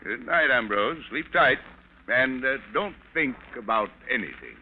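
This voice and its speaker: An actor playing a genial, reassuring family doctor in 1940s radio style, breezy and avuncular. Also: male voice, medium pitch, sing-song, a little slow. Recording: tinny old radio recording, faint noise.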